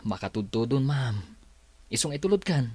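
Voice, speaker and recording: A man's voice: medium pitch, very animated, moderate pace, slightly rough, no room sound. A man acting a polite, patient suitor who was a security guard, in an Ilocano radio comedy drama, with a Filipino Ilocano accent. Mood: earnest, courting. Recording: loud hum and noise behind.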